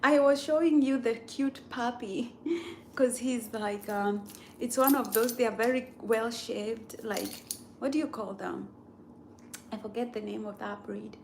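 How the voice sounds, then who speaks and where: Slow, laughing East African woman streamer. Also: a little high, fairly even intonation, creaky, slight background noise. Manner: laughs a lot, teasing viewers' guesses. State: sore throat. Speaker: livestream host. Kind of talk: casual live chat with viewers.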